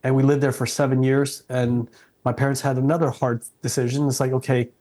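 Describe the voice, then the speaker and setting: Earnest middle-aged man with flat delivery. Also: mid-range voice, a little fast. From South Vietnam. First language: Vietnamese. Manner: earnest, respectful, frequent restarts. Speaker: guest. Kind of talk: interview.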